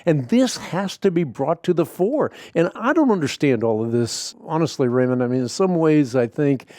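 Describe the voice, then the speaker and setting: A male radio host from the Netherlands, a native Dutch speaker, interviews a guest earnestly and with measured emphasis. His voice is mellow and warm, and the recording is dry and close. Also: on the high side, expressive, no room sound.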